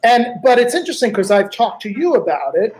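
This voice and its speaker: A man with a notably high speaking voice hosts the conversation, chatty and playful. He teases his guest and drifts into his own anecdotes between questions, keeping a casual, conversational tone. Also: big pitch swings, a little fast, a little mellow, slight background noise. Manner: chatty, storytelling, teasing. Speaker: host. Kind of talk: casual interview chat.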